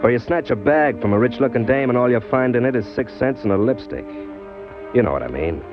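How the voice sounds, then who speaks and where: A man's voice: mid-range voice, animated, a little fast, close to an everyday voice. An actor playing a cynical, defensive drifter down on his luck, talking in slangy street talk in a vintage radio crime drama. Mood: wry, bitter. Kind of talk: 1940s radio crime drama acting, first-person narration and dialogue. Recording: muffled old radio recording with music.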